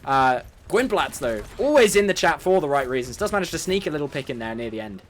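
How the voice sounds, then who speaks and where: A man's voice: a little high, sing-song, fast, fairly bright tone. Irish guy casting a game, laughs a lot, faint hiss behind. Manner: upbeat, laughs often. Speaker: esports caster. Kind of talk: live play-by-play commentary.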